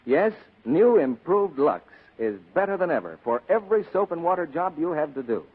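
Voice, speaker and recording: A man's voice: very animated, moderate pace, husky. An American man working as a radio announcer, delivering an emphatic scripted 1940s commercial. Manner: emphatic sales pitch. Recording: tinny 1940s radio broadcast.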